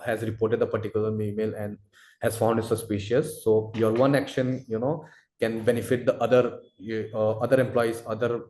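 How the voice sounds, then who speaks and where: A man answering audience questions as a product expert in a live webinar, speaking haltingly and repeating himself as he explains. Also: medium pitch, mellow. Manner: halting, repetitive, hedging delivery. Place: indoor room, webinar call. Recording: roomy, echoey sound.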